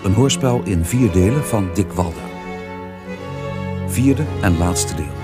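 Loud violin music plays as a man with a low voice makes a formal announcement. He sounds like the scripted presenter of a radio drama. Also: expressive, takes it slowly.

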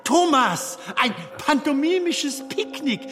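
An actor in a German radio drama playing an eager, playful male film comedian lost in darkness, with a theatrical, expressive delivery. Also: extremely high pitch, slow, exaggerated voice. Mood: playful, inviting. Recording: long hall reverb, music behind.

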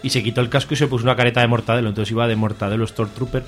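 A man from Spain talks at a very quick clip in loose, colloquial radio chat. His pitch sits in an ordinary middle range, and he is talkative and jokey. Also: little pitch movement. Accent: Spanish from Spain. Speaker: radio show contributor reviewing comics and fanzines.

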